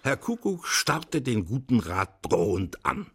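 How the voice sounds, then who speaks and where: A man narrating a children's radio play in an expressive scripted storytelling style. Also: medium pitch, slow, gravelly, husky.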